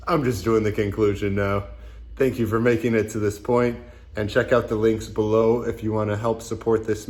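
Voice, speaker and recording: A man's voice: lowish voice, darkish tone. A man presenting, giving a closing narration to camera or over video.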